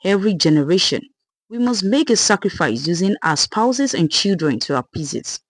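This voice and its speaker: A storyteller voicing an older village woman, a serene and chilling cult mother who explains herself softly and patiently. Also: mid-range voice, very animated, moderate pace, no room sound. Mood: patient, unrepentant. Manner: soft, patient, unfazed explaining.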